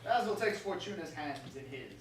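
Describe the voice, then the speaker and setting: A woman's voice: fairly low pitch, lively intonation, moderate pace, slight background noise. A woman reading stage directions as scripted narration. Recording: very echoey hall, distant mic.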